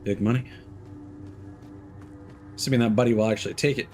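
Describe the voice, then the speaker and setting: A man's voice: medium pitch, steady tone, fairly quick, darkish tone, soft background music. A man streaming a video game, casually thinking aloud and hesitating with fillers as he plays. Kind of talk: casual gameplay commentary.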